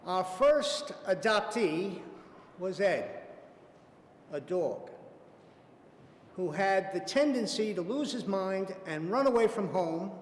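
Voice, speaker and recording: A man telling a personal anecdote at a theater event, warmly amused and lingering on the punchlines. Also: high voice, expressive, slow pace, pauses often, slight background noise. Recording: echoey hall, faint ambience.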